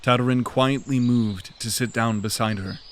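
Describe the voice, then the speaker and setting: Man, narrator, scripted fantasy narration, steady delivery. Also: medium pitch, normal speed, slight background noise.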